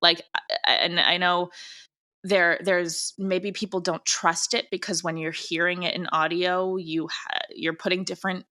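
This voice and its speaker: A woman's voice: fairly low pitch, little pitch movement, measured pace, sharp tone. A woman chatting casually as an interview guest, effusive and emphatic about her work. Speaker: audio drama creator and director, interview guest.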